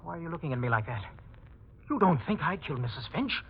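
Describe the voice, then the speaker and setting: A man's voice: fairly high pitch, big pitch swings, fast. An actor playing an anxious young man suspected of murder, urgent and soothing, in a 1940s radio mystery. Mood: nervous, defensive. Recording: dull old radio recording, noise behind.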